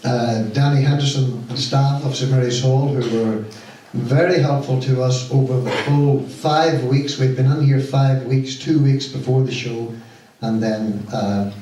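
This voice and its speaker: A man's voice: medium pitch, steady tone, unhurried, dark tone. Irish man speaking in a very echoey hall. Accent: Irish, County Donegal. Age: middle-aged or older. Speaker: pantomime director giving thanks on stage. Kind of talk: informal thank-you speech to an audience. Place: stage of a community hall. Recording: very echoey hall, distant mic, faint noise.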